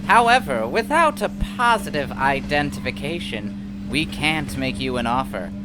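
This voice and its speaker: A man's voice: medium pitch, sing-song, a little slow, music in the background, rough recording. A man playing a pompous, wordy scientist who leads petty thugs in a theatrical audio drama. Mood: haughty.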